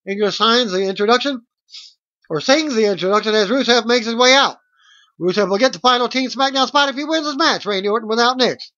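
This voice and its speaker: A middle-aged man reading out a wrestling results recap as host, in a halting way, on a webcam mic at home. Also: extremely high pitch, sharp tone. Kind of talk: read-out play-by-play results recap. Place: small room at home, indoors. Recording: dull lo-fi webcam audio.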